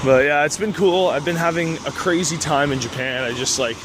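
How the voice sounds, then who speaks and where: Young man vlogging on a city street, laughs a lot, voice sits a bit high, heavy street noise. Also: brisk. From Canada. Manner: rambling, laughs often, lots of "like". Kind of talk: casual walking vlog. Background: street traffic.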